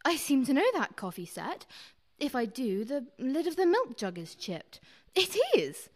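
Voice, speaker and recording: Woman acting a genteel, lively-voiced lady. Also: high voice, a little slow, exaggerated voice. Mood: dawning recognition. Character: genteel, poised, romantic runaway lady. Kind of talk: radio play acting.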